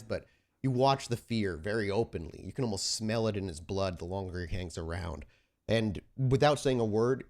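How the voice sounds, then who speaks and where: Low-voiced man narrating a tabletop game. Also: a little mellow. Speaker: game master and storyteller.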